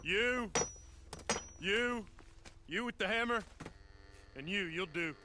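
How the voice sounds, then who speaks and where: An actor playing a sour, ignorant, folksy prison guard in a western video game. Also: male voice, high-pitched, slow pace, background noise. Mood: barking, bossy. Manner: coarse, folksy. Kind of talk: scripted western game acting. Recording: music under the voice.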